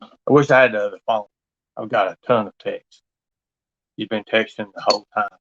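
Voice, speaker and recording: A man's voice: lowish voice, fairly even intonation, moderate pace, fairly bright tone. Insistent man, muffled audio. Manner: insistent, repetitive, confrontational. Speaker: livestreamer. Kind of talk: impassioned monologue to an absent person.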